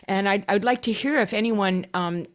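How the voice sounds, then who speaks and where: A woman's voice: medium pitch, moderate pace. A woman from the United States speaking earnestly on an online panel, with some hesitations.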